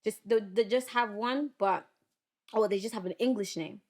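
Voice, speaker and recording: A woman in her thirties from Zimbabwe, a guest telling stories with lively energy in a podcast studio. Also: medium pitch, moderate pace. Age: 30s. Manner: lively storytelling, acting out voices. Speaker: guest broadcaster. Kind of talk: casual conversation. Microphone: studio mic.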